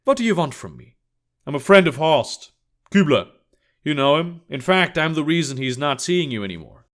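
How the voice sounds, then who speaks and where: Audiobook agent, male played by a man: calm, measured, reserved, quietly probing. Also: a little high. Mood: calm, persuasive. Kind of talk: audiobook character dialogue.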